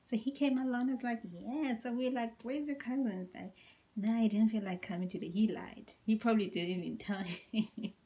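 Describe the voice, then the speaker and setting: A young woman telling a story in a casual, animated way, acting out quotes and dropping into whispered asides, her voice deep and tired after a workout. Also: normal speed. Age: young adult. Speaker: vlogger.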